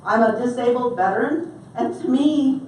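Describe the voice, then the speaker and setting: A woman's voice: on the high side, normal speed, darkish tone, slight background noise. A woman from the Navajo Nation, an audience member, making an emotional, impassioned comment at a town hall. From Navajo Nation, United States. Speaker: audience member asking a question. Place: large echoey hall. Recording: echoey hall from far off.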